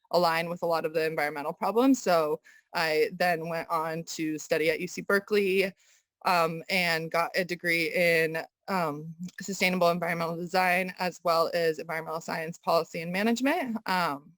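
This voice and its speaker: A young woman from Kazakhstan, raised in the US, answering interview questions thoughtfully with many pauses and restarts. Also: lowish voice, a bit bright, no echo. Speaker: guest artist. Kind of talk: casual interview conversation.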